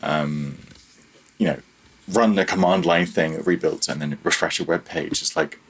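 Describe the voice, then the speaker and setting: Deep slightly raspy guy chatting about tech on a podcast, keeps rewording stuff mid sentence. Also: fairly even intonation, a little slow, rough recording. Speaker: guest, freelance audio software developer. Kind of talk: casual technical interview chat.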